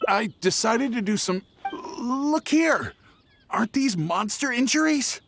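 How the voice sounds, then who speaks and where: A man's voice: extremely high pitch, expressive, a little slow, creaky, a bit bright, slight background noise, heavily acted. A man voicing a wounded bandit who poses as a hunter in a fantasy video game, pleading and stammering. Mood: pleading, nervous. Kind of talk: scripted fantasy game dialogue.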